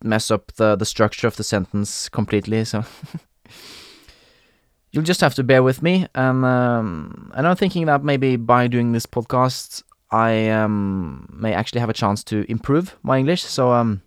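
A young man from Norway hosting a podcast in English with a Norwegian accent, talking casually with frequent pauses and fillers. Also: mid-range voice, clean tone, dry recording, studio quality. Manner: hesitant, many fillers and restarts, laughs often. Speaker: podcast host. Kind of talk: casual solo podcast talk.

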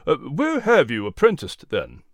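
An actor playing a stern, skeptical colonial gentleman with a formal, probing manner in an audiobook. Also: a little high, huge pitch swings, a little slow, dry recording, clean studio sound, heavily acted. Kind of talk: audiobook character acting.

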